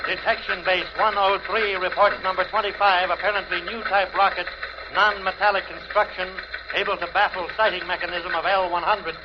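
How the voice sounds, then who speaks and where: A man's voice: high-pitched, flat delivery, a little fast, close to an everyday voice. An actor playing a formal, clipped male military missile-detection announcer in a 1950s radio drama. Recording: noisy, muffled old radio recording.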